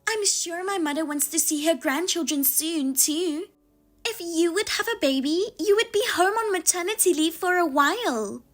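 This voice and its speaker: A scornful sister-in-law, as a woman puts her on, her high voice sharp and mocking as she lectures. The voice rises even above the reader's own high pitch, pushy and condescending. Faint music plays under the dramatized story. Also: normal speed.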